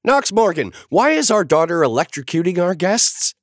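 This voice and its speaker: A man voicing a nervous mother hosting dinner, calling out to the table in a high-strung way. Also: big pitch swings, moderate pace, dry recording, studio quality. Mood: shrieking. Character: anxious hostess, a mother.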